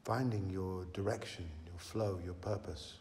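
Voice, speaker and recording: A man leading a guided meditation as a teacher, speaking slowly and gently with long unhurried pauses. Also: low-pitched, fairly even intonation. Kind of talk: guided meditation, slow deep-relaxation instruction. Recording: reverberant hall, some background noise.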